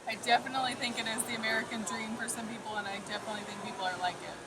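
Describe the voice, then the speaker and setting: Young woman over loud noise. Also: a little high, little pitch movement, rapid-fire. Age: 20s.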